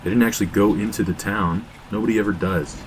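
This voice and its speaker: A man's voice: lowish voice, fairly even intonation, fast talker, a little hiss or hum, lightly acted, poor audio. An actor playing a cautious, worried young man in an audio drama, slangy, skeptical and sarcastic. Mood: worried, pleading. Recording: clear outdoor ambience, crickets behind.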